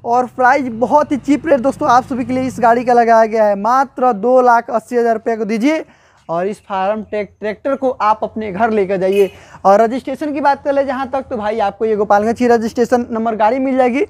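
A young man vlogging from an outdoor tractor market, walking with a phone camera and pitching used tractors with a brisk salesman's patter. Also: no room sound. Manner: brisk salesman patter, repeats prices and calls viewers brother. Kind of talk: walking tour sales vlog.

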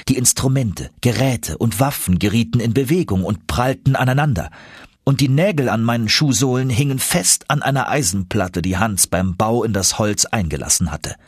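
A man narrating an audiobook story in an expressive, suspenseful, dramatic manner. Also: medium pitch, breathy edge, dry recording, studio quality.

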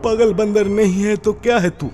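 A man's voice: high voice, steady tone, moderate pace, a little mellow, soft background music, lightly acted. A man voicing an irritable, blustering old village cleric in a cartoon, grumbling indignantly. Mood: irritated. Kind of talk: cartoon voice acting.

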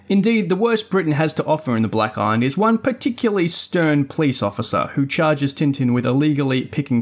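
A man hosting a podcast, reading a scripted, essay-like review in a measured, articulate and formal manner. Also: mid-range voice. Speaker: podcast host.

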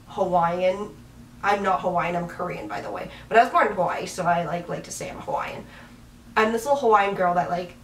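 A woman's voice: lowish voice, slight background noise. A young woman in her twenties from Washington State, chatting casually to the camera in her bedroom and laughing at herself as she rambles. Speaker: vlogger. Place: small bedroom, indoors, on a bed with a dog. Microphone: camera mic from a few feet away. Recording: roomy, slightly distant camera audio.

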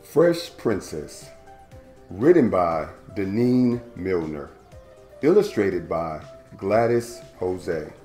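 A man in his thirties hosting a storytime, reading a children's picture book aloud indoors with a playful, animated delivery. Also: sits low, slow, soft background music. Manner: animated, playful, stretches words for young listeners. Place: indoor room at home.

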